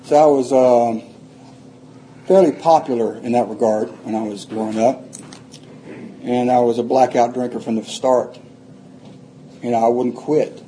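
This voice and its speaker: A man's voice: medium pitch, fairly even intonation, measured pace, pauses often, warm tone, slight background noise, low-quality recording. An older man, telling his life story plainly to a group, rambling and restarting often. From Atlanta, Georgia, USA. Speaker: recovery speaker.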